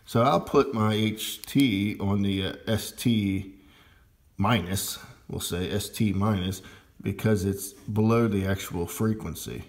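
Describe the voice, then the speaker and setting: A man giving a casual, unscripted hands-on demonstration of his hobby equipment, talking in a halting way. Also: fairly low pitch. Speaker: amateur radio hobbyist demonstrating gear.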